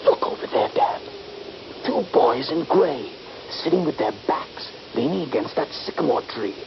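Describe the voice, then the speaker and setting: A man's voice: on the high side, lively intonation, unhurried, gravelly. An actor playing a bold, eager young Union Army soldier in a radio drama, confident and dramatic in his urging. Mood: hushed, intent. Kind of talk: radio drama acting with first-person narration. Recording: dull, noisy 1970s radio recording.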